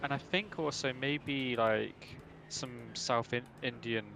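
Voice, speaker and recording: A man chats casually, asking curious questions. His voice is gravelly. Game noise runs underneath. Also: medium pitch, slow pace, sharp tone. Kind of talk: casual chat. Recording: background noise from game audio.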